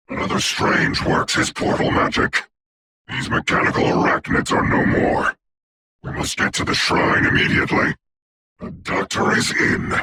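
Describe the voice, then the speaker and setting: A man playing a grave, commanding dark sorcerer for a video game, with a breathy rasp, formal grandiose diction and eerie distorted processing. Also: very deep voice, heavy background noise, exaggerated voice. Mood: solemn, then urgent, commanding. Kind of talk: video game hero voice lines.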